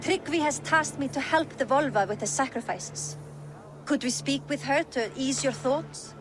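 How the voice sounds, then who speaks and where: A woman's voice: high-pitched, moderate pace, background noise, natural voice. A woman playing an attentive, supportive Viking clanswoman in a video game cutscene. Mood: concerned, gentle.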